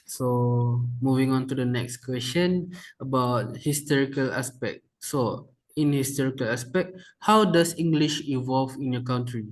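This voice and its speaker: A man's voice: medium pitch, poor audio. A young man interviewing a fellow student, reading out prepared questions in a casual way with filler sounds. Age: young adult. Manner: casual, adds fillers, calls the guest bro.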